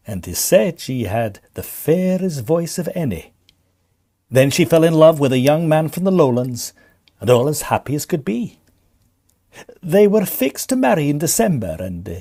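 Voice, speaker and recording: A man's voice: mid-range voice, dark tone. An actor playing a superstitious Scottish countryman who tells old legends in folksy dialect, in a period audio drama.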